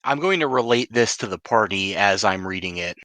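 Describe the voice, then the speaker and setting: A man with a forceful, bright voice on the lower side talks as a player in a tabletop fantasy game. His speech is informal and inquisitive, with the odd mild swear, in a very dry recording. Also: expressive, fairly quick, no room sound. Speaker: tabletop role-playing player. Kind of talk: casual in-game chat.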